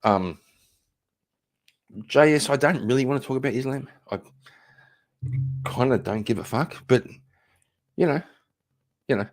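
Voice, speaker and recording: A man's voice: mid-range voice, measured pace, long pauses, soft background music. A man from Northern Ireland chatting casually on a livestream, blunt and profane, with many fillers. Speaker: streamer.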